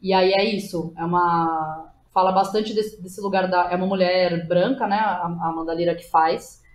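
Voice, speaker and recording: A woman's voice: lowish voice, steady tone, normal speed, slight background noise. Effusive Brazilian woman chatting casually. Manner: colloquial, effusive, emphatic. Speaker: podcast guest, playwright and educator. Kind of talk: casual conversational interview.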